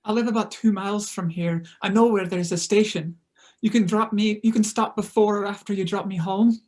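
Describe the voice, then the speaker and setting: Brief: actress as frightened young-sounding female survivor, tentative and vulnerable, mid-pitched. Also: fairly even intonation, fairly quick, a little mellow, lightly acted. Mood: uneasy. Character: Emma, timid, frightened pregnant woman survivor. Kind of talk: table read of a TV pilot script.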